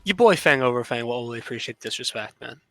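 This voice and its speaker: A man's voice: mid-range voice, animated, quick pace, pushed and bright, dry recording. A man giving casual live analysis and banter as a commentator on a video game match.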